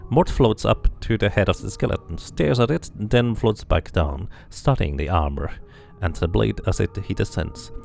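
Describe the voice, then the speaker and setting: A man's voice: on the low side, normal speed, vocal fry, darkish tone, soft background music. A man streaming a video game, reading its text aloud like a narrator and muttering casual asides to himself.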